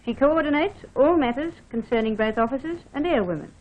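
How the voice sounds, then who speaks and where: A woman's voice: sits high, expressive, a little fast. A woman officer from Australia reads scripted training film narration, formally instructing the listener directly. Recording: dull band-limited vintage film soundtrack.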